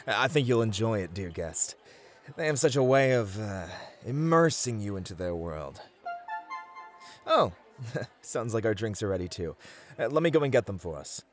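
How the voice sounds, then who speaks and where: A man playing a polite, flirty club host in an ASMR roleplay, courteous and gently teasing, with hesitant pauses. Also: fairly low pitch, very animated, soft background music. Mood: easygoing, teasing.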